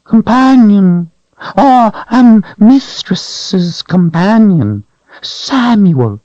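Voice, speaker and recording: A man's voice: lively intonation, drawn-out words, mellow, no echo, highs cut off, full character voice. A man voicing a cringing, hairless creature that whines, hisses and pleads in broken speech, in a storybook reading. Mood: whining. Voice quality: shrill whining, broken speech. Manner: whimpering, pleading, hissing. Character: cringing hairless creature, sly, whiny, grasping.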